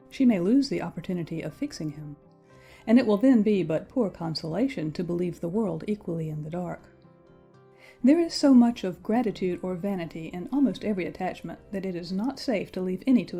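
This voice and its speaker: Woman as pragmatic young period gentlewoman for audiobook drama: mellow, husky, measured and formal, music behind. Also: fairly low pitch, lively intonation. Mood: cool advice. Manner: measured, matter-of-fact, formal period diction. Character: pragmatic, worldly young gentlewoman, coolly advising.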